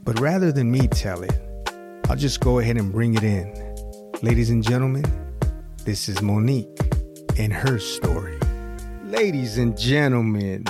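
High-pitched man hosting, with music behind. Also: animated, normal speed, long pauses. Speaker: show host. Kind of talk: conversational interview, personal introduction.

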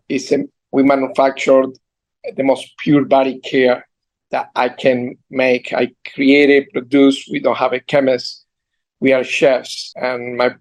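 A man in his sixties with an Argentine Spanish accent, a guest entrepreneur on a video call, telling his story earnestly with halting restarts. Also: medium pitch, darkish tone, low-quality recording. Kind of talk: storytelling interview. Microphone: computer mic on a video call.

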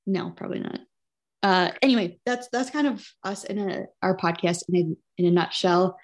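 A woman's voice: fairly low pitch, normal speed. A woman chatting casually as a podcast co-host, informal and teasing in a playful way. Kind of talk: casual chat.

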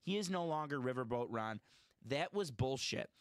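A man's voice: mid-range voice, expressive, normal speed, no echo, clean studio sound. A man hosting a casual sports podcast, chatty and opinionated, often correcting himself mid-sentence. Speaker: podcast host.

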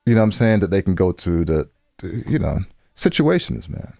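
Deep-voiced man in casual, slangy talk. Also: very animated, brisk, creaky, dark tone, no room sound, studio quality. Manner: informal slangy speech with swearing. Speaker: podcast co-host. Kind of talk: casual conversational advice discussion.

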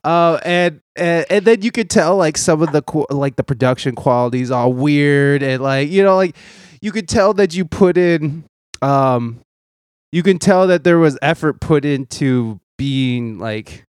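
Man, podcast host, fairly high voice, excitable, profane, stumbling, dry close sound. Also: a little slow, smooth, no room sound. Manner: excitable, swearing, laughing often, stumbling restarts. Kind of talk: casual podcast conversation.

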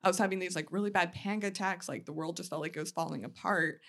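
A woman's voice: lowish voice, quick pace, a bit bright. A woman in her thirties chatting candidly and casually as a comedian guest on a podcast, often saying "like". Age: 30s.

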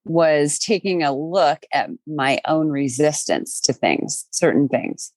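A woman hosting a podcast, chatting in a calm, reflective way. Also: deep voice, slow, dry recording. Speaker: podcast host. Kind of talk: casual chat.

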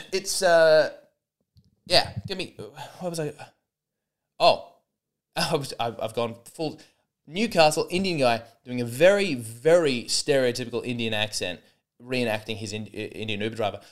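A man's voice: mid-range voice, expressive, normal speed. A young man hosting a solo comedy podcast, rambling and sarcastic with many false starts.

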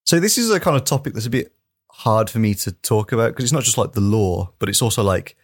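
A man's voice: on the low side, animated, quick pace, dry recording, studio quality. A man co-hosting a podcast, moving between casual chat and reading out news in an informal, careful way. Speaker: podcast co-host.

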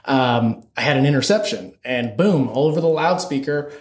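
A man's voice: mid-range voice, lively intonation, moderate pace. A man hosting a casual interview, upbeat and chatty, often agreeing with his guests. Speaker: host. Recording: echoey room.

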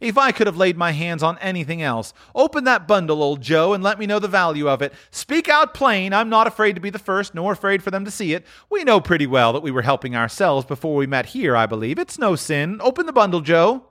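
A man reading aloud, voicing a bold, scornful old charwoman with a shrill, cackling voice. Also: very animated, fairly quick, hardly any pauses, pushed and bright, exaggerated voice. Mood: brazen, defiant.

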